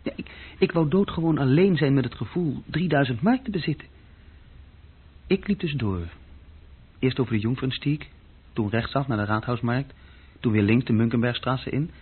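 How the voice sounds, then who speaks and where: A man's voice: mid-range voice, normal speed, long pauses, husky, natural voice. An actor playing a restless, self-reproaching young office clerk who tells his story hesitantly and conversationally in a radio play. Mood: reflective, restless. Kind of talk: radio play dialogue and recollection. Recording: thin, phone-like old radio recording.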